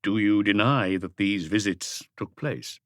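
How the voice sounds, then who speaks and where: Guy doing a police detective in an audiobook, lowish voice, formal, patient, probing, very dry recording. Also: a little slow, a bit bright, no echo. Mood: insistent. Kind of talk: audiobook character dialogue.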